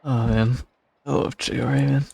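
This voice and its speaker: A man's voice: medium pitch, steady tone, measured pace, creaky, a little mellow, husky. A man giving casual reaction commentary as he streams a game.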